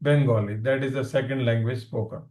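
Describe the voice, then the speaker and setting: A man's voice: mid-range voice, steady tone, a little fast, darkish tone, echoey room. A man with an Indian accent whose first language is Punjabi, teaching an online class, explaining points and quizzing students, switching between Hindi and English.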